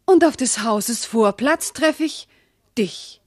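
Noble wife in a classic radio play, hurt and indignant, formal verse delivery, dry sound. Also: female voice, fairly high pitch, lively intonation, takes it slowly, dry recording. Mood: passionate, reproachful. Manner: formal, declamatory, impassioned. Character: proud, loving wife, noble lady, wounded and indignant.

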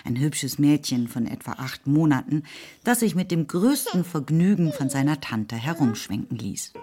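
A woman narrating a radio drama in a measured, composed way. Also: deep voice, sing-song, dark tone. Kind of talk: scripted literary narration.

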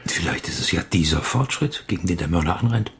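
Deep-voiced, probing male journalist. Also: expressive, vocal fry. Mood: calm, challenging. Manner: measured, challenging questions. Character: calm, probing male journalist, quietly challenging. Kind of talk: German radio crime drama acting.

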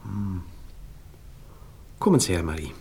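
An actor playing a nervous, well-off young gentleman with a Viennese accent, halting and distracted, in a radio play. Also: low-pitched, expressive, vocal fry, slight background noise, full character voice. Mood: furtive. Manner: halting, distracted, faintly bossy.

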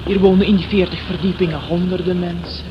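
A woman's voice: lowish voice, level tone, fast talker, natural voice. Dull noisy old recording, radio drama, actress as a busy female concierge, matter-of-fact, placating, stoical. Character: busy, stoical war-widowed concierge of an apartment block. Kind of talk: Dutch radio play acting.

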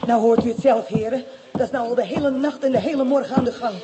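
A woman's voice: a little high, fairly even intonation, quick pace, background noise, lightly acted. An actress playing a chatty, flustered and indignant landlady in a radio play, garrulous and plaintive.

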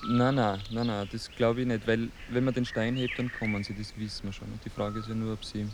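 A man's voice: fairly low pitch, little pitch movement, a little fast, background noise, natural voice. A man playing a cautious, hesitant researcher in a naturalistic radio play, repeating himself as he reasons aloud. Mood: cautious, tentative. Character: cautious, hesitant male researcher, mildly insistent. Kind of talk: naturalistic radio-play dialogue, like a field recording.

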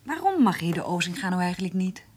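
An actress playing a curious, persistent woman who gently pries, in a Dutch radio play. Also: fairly low pitch, very animated, a little fast, breathy edge. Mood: prying.

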